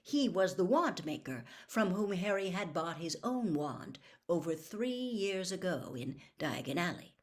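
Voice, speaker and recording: Storybook narrator: woman with a slightly low voice, expressive read-aloud delivery. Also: measured pace, hardly any pauses. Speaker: audiobook narrator.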